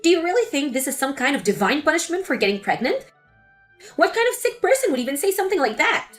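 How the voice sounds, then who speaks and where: A woman's voice: high-pitched, very animated, fast talker, faint music behind. A woman voicing a hurt, indignant pregnant young wife in a scripted text-story drama. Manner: indignant, pleading.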